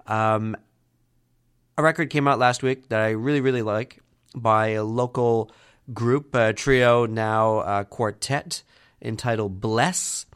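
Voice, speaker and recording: A man's voice: fairly low pitch, steady tone, slow pace, fairly bright tone, clear, resonant tone. A man hosting a community radio music show, talking with a head cold that makes him sound nasal and rambling with many fillers. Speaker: radio host.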